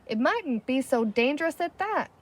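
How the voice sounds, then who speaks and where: A woman voicing an excitable, boastful little green male creature who plays pirate in a storybook, with a swaggering manner. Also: high voice, moderate pace, pitched above the performer's own voice.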